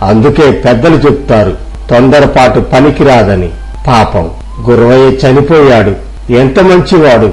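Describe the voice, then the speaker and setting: A village elder played by a man speaks at a medium pitch. He is measured and authoritative, a dutiful master to his workers, in the style of an acted moral tale. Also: lively intonation, a little mellow, faint music behind, natural voice, low-quality recording. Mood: regretful. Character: dutiful, kindly male village elder and employer. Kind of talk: acted Telugu moral story.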